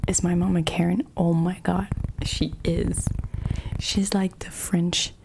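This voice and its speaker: A woman's voice: on the low side, level tone, slow pace, creaky, background noise. A woman streamer who whispers casual stories and chat replies, often giggling and sometimes switching into French. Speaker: livestreamer reading chat.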